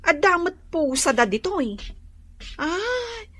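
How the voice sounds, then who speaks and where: A woman's voice: extremely high pitch, sing-song, slow pace, sharp tone, slight background noise, heavily acted. A woman playing a timid, anxious young woman in a radio drama, speaking in a hesitant, whiny way full of exclamations. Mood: searching. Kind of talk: Ilocano radio drama acting.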